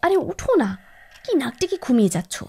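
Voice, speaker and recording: A woman playing a spiteful, scheming young village wife in a cartoon, shrill and dramatic as she nags and complains. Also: big pitch swings, normal speed, warm tone. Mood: demanding, nagging. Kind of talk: animated cartoon moral-story acting.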